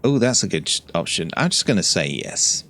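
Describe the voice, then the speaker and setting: A man's voice: on the low side, very animated, a little fast, a bit bright. A man giving casual gameplay commentary, musing aloud and reacting to what is on screen. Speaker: gameplay streamer.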